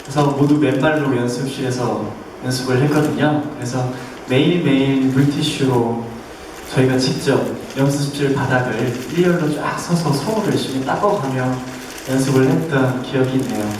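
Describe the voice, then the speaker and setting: A man's voice: medium pitch, moderate pace, a little mellow. A young man, a stage actor, recalling rehearsals at a press call. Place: theatre stage, recorded from the audience. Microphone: handheld mic through PA, distant camera. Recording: very echoey, distant, faint noise.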